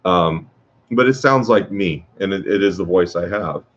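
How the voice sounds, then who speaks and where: A man teaching guitar in a casual workshop talk, with a low voice and a rambling way of speaking, full of fillers and restarts. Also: normal speed, a little hiss or hum. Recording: dull lo-fi sound.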